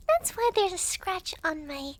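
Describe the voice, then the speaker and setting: A woman's voice: very high voice, heavily acted. A woman playing a chatty, teasing young devil girl clerk in an ASMR roleplay, speaking to the listener in a breezy, giggly way. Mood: chatty, persuasive. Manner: giggly, breezy, teasing, halting when flustered.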